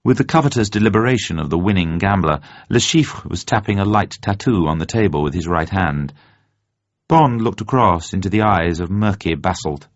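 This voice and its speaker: Deep-voiced man reading a novel. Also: lively intonation, moderate pace. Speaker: audiobook narrator. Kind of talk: scripted dramatic novel reading. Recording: roomy, slightly dull sound.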